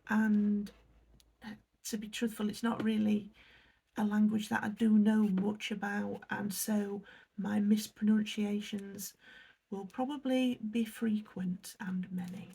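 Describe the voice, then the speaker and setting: A woman's voice: mid-range voice, little pitch movement, takes it slowly, vocal fry, warm tone, breathy edge, rough recording. An English woman chatting casually as she unboxes a snack box, reading the product blurbs aloud and apologising for her pronunciation. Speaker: unboxing vlogger. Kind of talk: casual chat while unboxing.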